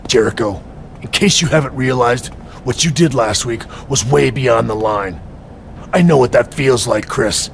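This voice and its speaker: Gravelly, stern veteran wrestler. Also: male voice, medium pitch, slight background noise. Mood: stern warning. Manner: measured, confrontational. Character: stern, defiant veteran male wrestler hero. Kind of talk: video game cutscene acting.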